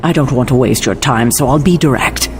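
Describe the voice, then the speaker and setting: An actor playing a stern, protective male leader in a video game, crisp, formal and easily indignant. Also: medium pitch, brisk, over music, lightly acted. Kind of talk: video game cutscene acting.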